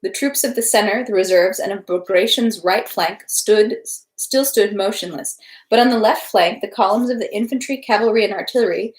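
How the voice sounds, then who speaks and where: A woman hosting a read-aloud livestream, speaking informally in an echoey indoor room. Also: medium pitch. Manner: informal, rambling, self-interrupting. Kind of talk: casual livestream chat, then audiobook-style reading.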